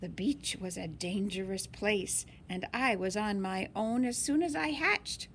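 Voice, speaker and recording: Woman doing storytime for kids, slow and careful, kinda creaky, bit of background noise. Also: medium pitch. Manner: slow, deliberate, animated phrasing for young listeners. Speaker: storyteller reading a picture book aloud. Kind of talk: expressive children's read-aloud.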